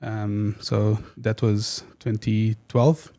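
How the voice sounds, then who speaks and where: Man, flat gravelly voice. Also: fairly low pitch, very slow. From Portugal. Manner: hesitant, many fillers and restarts. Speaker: guest. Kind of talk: casual interview chat.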